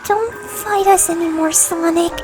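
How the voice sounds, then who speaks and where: A woman's voice: extremely high pitch, fairly even intonation, unhurried, over music. A woman voicing a young boy fox sidekick in a scripted audio drama. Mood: eerie, coaxing. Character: possessed young fox sidekick, boyish and eerily calm.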